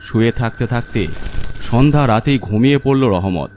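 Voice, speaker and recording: A man narrating a scripted horror story in a suspenseful, deliberate manner. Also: medium pitch, darkish tone, slight background noise.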